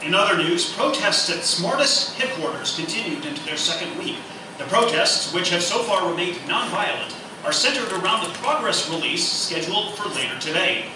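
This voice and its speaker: A man's voice: high voice, animated, normal speed. A man playing a formal TV news anchor in a live radio theatre play. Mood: formal, measured. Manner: formal announcer delivery. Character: male TV news anchor, formal, flustered by breaking news. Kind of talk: scripted newscast parody in live radio theatre. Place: live stage performance in echoey room. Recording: echoey hall, distant mic, noisy.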